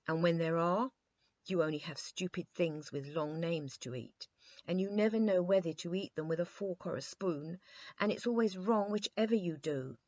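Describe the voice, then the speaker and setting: A woman's voice: fairly low pitch, darkish tone, no echo, lo-fi sound, voice pushed up. A woman voicing a petulant, haughty little boy king in a children's storybook reading. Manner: cross, haughty, complaining.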